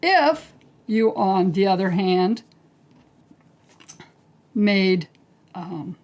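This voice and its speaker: A woman teaching a quilting technique step by step, calm and unhurried, clearing her throat as she is losing her voice. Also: mid-range voice. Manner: calm, unhurried, talks through each step as she works. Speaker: quilting instructor. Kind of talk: step-by-step craft tutorial.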